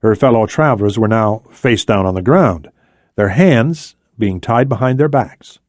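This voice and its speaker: A man's voice: lowish voice, lively intonation, measured pace, a little mellow, no echo. Man narrating a thriller audiobook, dry sound. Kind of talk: scripted thriller novel narration.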